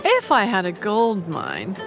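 Posh chatty older widow in an audio drama, really swoopy high voice, unhurried. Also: female voice, huge pitch swings, over music, full character voice. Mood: breezy. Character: genteel, busy English society widow, bright and chatty.

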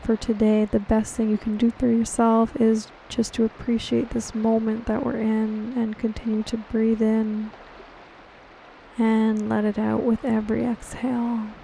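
A woman leads a guided meditation in a calm, gentle, reassuring and unhurried way, as soothing scripted narration. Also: fairly high pitch, creaky, a little hiss or hum, low-quality recording. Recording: steady rain on water behind voice. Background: rain falling on water.

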